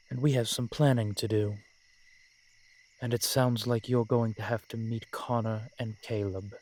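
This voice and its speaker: Centuries-old vampire general, played by a man, protective and proud, low register, very unhurried courtly delivery for intimate roleplay audio. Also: sharp tone. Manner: measured, archaic, courtly phrasing with dramatic pauses. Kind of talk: roleplay audio drama, addressed intimately to one listener.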